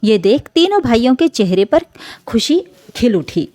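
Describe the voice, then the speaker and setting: Mellow, lively higher-voiced woman storyteller. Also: a little slow, dry recording. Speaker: storyteller, narrator. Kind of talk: scripted dramatic narration of an emotional family story.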